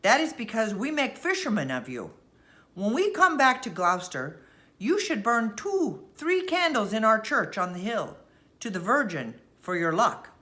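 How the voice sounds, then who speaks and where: A woman voicing an earnest, devout fisherman in a storybook, with halting, simple phrasing. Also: a little high, very animated, sharp tone, pitched above the performer's own voice. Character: earnest, devout Portuguese fisherman, reassuring man. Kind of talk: storybook character voice.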